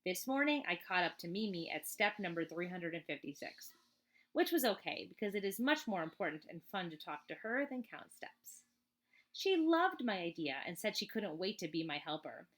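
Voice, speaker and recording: Bright-voiced woman reading a children's book. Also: fairly low pitch, normal speed. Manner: expressive, chatty, emphatic on list items. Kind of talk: read-aloud storytelling with casual asides.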